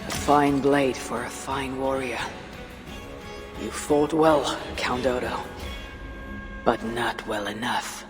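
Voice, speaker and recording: Stern Viking raider for a game, voice actor, grim and terse, mid pitch, long hall reverb over music. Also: fairly even intonation, slow, long pauses, natural voice. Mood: firm. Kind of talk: cinematic game dialogue.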